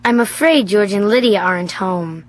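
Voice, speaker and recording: An actress playing an eerily polite, composed child in a radio drama, very formal. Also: female voice, medium pitch, normal speed, lightly acted. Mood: chilly courtesy.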